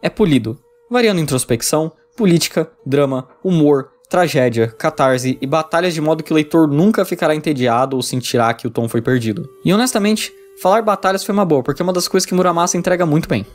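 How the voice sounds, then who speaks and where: A man's voice: on the high side, animated, quick pace, hardly any pauses. A man with a Brazilian accent narrating a scripted video review as a reviewer of visual novels.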